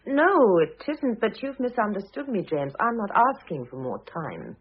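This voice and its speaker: Wry ex-wife, old phone-thin radio sound. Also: female voice, mid-range voice, big pitch swings, normal speed, breathy edge. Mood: calm, firm. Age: middle-aged. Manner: dry, pointed, self-possessed. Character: composed, wry, wounded middle-aged divorced former wife. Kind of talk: vintage radio drama acting. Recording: muffled, narrow-band old radio recording.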